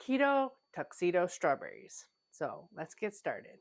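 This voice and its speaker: A woman's voice: fairly low pitch, wildly swooping pitch, a little slow, gravelly. A woman who is a pastry chef hosting a casual home-kitchen cooking tutorial, a little stuffy from a cold, chatting with plenty of "like" fillers. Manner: chatty, conversational, with frequent "like" fillers. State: stuffy, getting over a cold.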